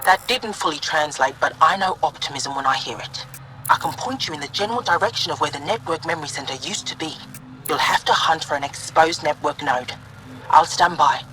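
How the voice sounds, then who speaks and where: A woman playing a weary female alien researcher in a video game, formal, measured and resigned. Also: sits low, sharp tone, a little hiss or hum, low-quality recording. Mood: measured, guarded. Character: weary female alien researcher mourning her lost home and work. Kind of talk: video game dialogue acting.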